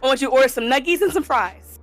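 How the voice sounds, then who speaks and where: A woman's voice: sits high, expressive, quick pace, a bit bright, soft background music, poor audio. A woman in her twenties, a podcast guest, chatting casually and playfully with jokes and teasing. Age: 20s.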